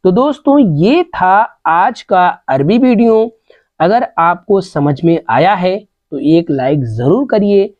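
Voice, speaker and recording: Slow, lively-pitched woman teaching phrases. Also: no echo. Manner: repeats each phrase several times, slowly and deliberately. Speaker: Arabic language teacher. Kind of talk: slow repetitive vocabulary lesson. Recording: dull, lo-fi sound.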